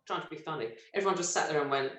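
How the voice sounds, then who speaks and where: A middle-aged woman from Britain, a stage actress telling lively, self-mocking stories in an interview and laughing often. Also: low-pitched. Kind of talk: relaxed anecdotal interview chat. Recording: roomy echo.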